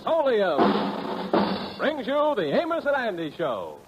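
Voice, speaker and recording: A man's voice: high-pitched, huge pitch swings, slow pace. A man announcing from a script in the style of 1940s radio. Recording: muffled vintage radio recording. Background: loud orchestral music.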